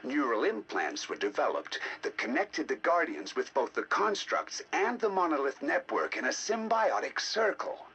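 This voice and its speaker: An actor playing a scholarly, intrigued scientist who narrates scripted game audio logs in a deliberate, theatrical, musing way. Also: male voice, a little high, very animated, fairly bright tone, husky, exaggerated voice. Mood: musing, fascinated. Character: scholarly male scientist, intrigued by ancient alien data. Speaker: researcher narrating decoded alien history logs.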